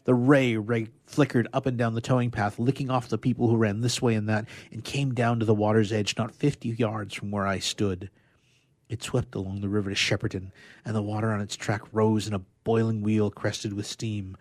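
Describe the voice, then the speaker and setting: A man giving a dramatic reading of classic science fiction, with emphatic, drawn-out phrasing. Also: fairly low pitch, flat delivery, darkish tone, no room sound. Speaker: radio player reading literature aloud.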